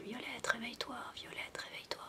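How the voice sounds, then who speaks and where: A woman voicing a gentle mother who coaxes her child in a tender whisper, as part of a bedtime story roleplay. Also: fairly high pitch, flat delivery, a little fast, pitched above the performer's own voice, lightly acted. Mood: coaxing. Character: gentle mother coaxing her daughter awake. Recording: noisy homemade recording.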